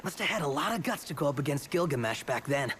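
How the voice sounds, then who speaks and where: An actor playing a playful, excitable young male sidekick in a video game, full of friendly banter. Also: a little high, quick pace, a little hiss or hum. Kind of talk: dramatic video game acting.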